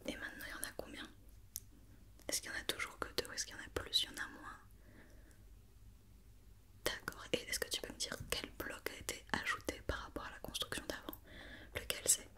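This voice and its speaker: Woman whispering asmr doctor checkup, real bright crispy whisper, patient, repeats stuff gently. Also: fairly quick, long pauses. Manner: patient, gentle repetition of questions and reassurance. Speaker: ASMR roleplayer playing a doctor.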